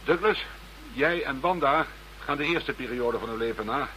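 An actor playing a gruff, sardonic investigator who leads a case in a radio play. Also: male voice, mid-range voice, moderate pace, bright, forceful tone, close to an everyday voice, poor audio. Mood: dry, brisk. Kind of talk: scripted radio play acting. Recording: faint background hum and noise.

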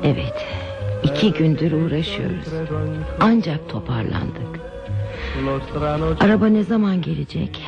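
A wistful ageing wife in a radio play, speaking slowly and expressively. The recording is dull and lo-fi, with music clearly behind her. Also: deep voice, exaggerated voice. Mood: wistful, attached. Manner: slow, wistful, expressive delivery. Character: wistful ageing wife leaving her railway home of thirty years. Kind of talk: Turkish radio theatre acting.

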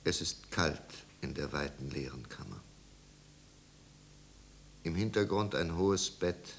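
A man narrating a bleak 1950s radio drama as a somber, measured storyteller. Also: low voice, creaky, heavily acted. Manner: hushed, grave, measured. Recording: old radio recording with hiss and hum.

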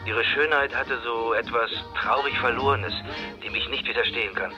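Radio play: man playing earnest protective young cop, measured statement, muffled lo-fi sound with music. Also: medium pitch, natural voice. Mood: earnest, tender. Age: 20s. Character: earnest, protective male boxer-policeman declaring his love. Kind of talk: quoted press statement in radio drama.

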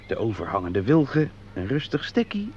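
An actor playing an easygoing, boastful nature lover and angler, jovial and unhurried, in a muffled radio play with some noise. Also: male voice, medium pitch, big pitch swings, a little mellow, natural voice. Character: easygoing, boastful angler and self-confessed driving maniac. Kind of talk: Dutch radio play acting.

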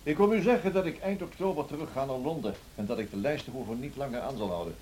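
An actor playing a courteous, genteel gentleman tenant in a radio drama, speaking politely and formally on an old recording. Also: medium pitch, quick pace, a little hiss or hum, close to an everyday voice. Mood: polite, affable.

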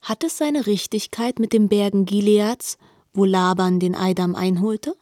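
Mellow woman narrating literary radio drama. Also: mid-range voice, unhurried, no room sound, clean studio sound.

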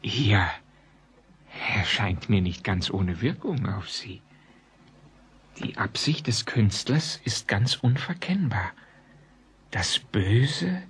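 Effusive, low-voiced provincial antiquarian. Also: male voice, lively intonation, slow, pauses often, fairly bright tone, slightly rough, heavily acted. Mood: dark, fascinated. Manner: effusive, florid, boastful, courteous. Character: proud provincial antiquarian host, effusive father of the groom. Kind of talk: German radio play acting.

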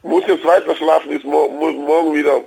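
A man with a husky voice, protesting in a flustered, halting way on the phone. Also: a little high, little pitch movement, a little mellow, low-quality recording. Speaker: prank call recipient, sales representative. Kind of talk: casual phone conversation.

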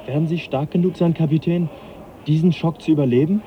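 An actor playing the young naturalist Charles Darwin, earnest and measured, in a radio play. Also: male voice, fairly high pitch, fairly even intonation, lightly acted. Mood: persuasive. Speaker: scientist lecturing. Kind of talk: 1980s German radio play acting. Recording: dull lo-fi radio recording, faint hiss.

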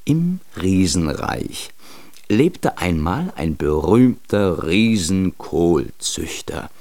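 A man narrating a scripted children's story as a radio drama narrator, in a measured storytelling manner. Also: low-pitched, sing-song, darkish tone, no room sound.

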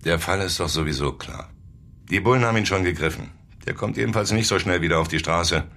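Very deep-voiced, laconic male reporter. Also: quick pace, fairly bright tone. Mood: probing, dry. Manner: probing, laconic, casual. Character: nosy, streetwise male newspaper reporter, persistent and laconic. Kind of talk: naturalistic German radio drama acting.

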